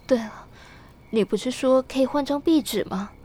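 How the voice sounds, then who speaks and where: A woman's voice: high voice, moderate pace, warm tone. A woman acting an anxious, melancholy young wife shut away in a room, in an audio drama. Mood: dejected, pleading.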